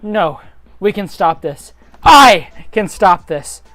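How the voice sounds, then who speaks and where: A woman's voice: medium pitch, big pitch swings, takes it slowly, fairly bright tone, no echo, soft background music. A woman playing a stubborn, indignant young hero with emphatic delivery in an amateur dramatic reading. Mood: indignant, determined.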